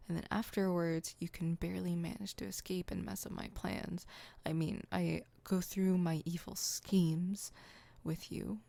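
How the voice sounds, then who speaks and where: Woman acting a low, creaky, exasperated supervillain. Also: a little mellow, no room sound, heavily acted. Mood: flustered, annoyed. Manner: indignant, wordy, dry, slightly stammering. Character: exasperated supervillain, proud and secretly caring. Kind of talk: ASMR roleplay acting.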